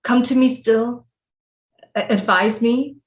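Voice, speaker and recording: A woman's voice: fairly high pitch, slow pace. A woman acting a haughty, scheming, lovelorn Renaissance queen in an audio play, speaking formal, archaic lines theatrically. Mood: wistful. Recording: roomy echo with music behind.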